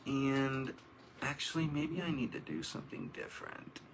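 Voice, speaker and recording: A man's voice: medium pitch, sing-song, moderate pace, background noise. A man hosting a casual livestream interview on a tablet, talking to his audience while he sets up the call. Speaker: show host. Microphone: video call on a tablet.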